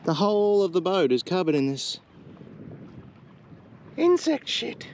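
A man's voice: high voice, very animated, a little slow, a little mellow, a little hiss or hum. A middle-aged man chatting casually on his sailboat, rambling and exclaiming as he talks, recorded on a camera mic. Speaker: sailing vlogger. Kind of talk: casual vlog chat. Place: on a sailboat at night, then in the cabin.

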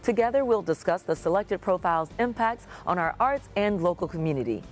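A woman's voice: fairly low pitch, fairly quick. A middle-aged woman hosting an arts program, speaking in a polished, scripted presenter style from a studio on a lapel mic. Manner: lively, polished presenter delivery. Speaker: TV arts show host. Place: dark TV studio.

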